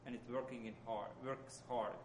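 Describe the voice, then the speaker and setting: Man, Hungarian accent, medium pitch, lay preacher, informal sermon, halting delivery, faintly noisy recording. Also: fairly even intonation. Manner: halting, searching for words. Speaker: lay church speaker.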